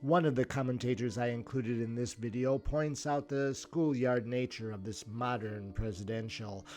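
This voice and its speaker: Male podcast host, mid-pitched, measured and wry scripted commentary, music underneath. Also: darkish tone. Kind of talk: scripted commentary over audio clips. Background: instrumental music.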